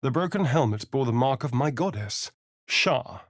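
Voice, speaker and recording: A man's voice: medium pitch, expressive, normal speed, no room sound, clean studio sound, natural voice. An actor playing a suave, sardonic male vampire spawn in a video game, with an arch, drawling, theatrical manner. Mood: hushed narration. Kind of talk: video game character voice lines.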